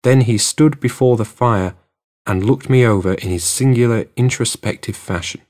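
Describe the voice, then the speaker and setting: A man reading literary narration for an audiobook in a measured storytelling manner. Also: mid-range voice, darkish tone.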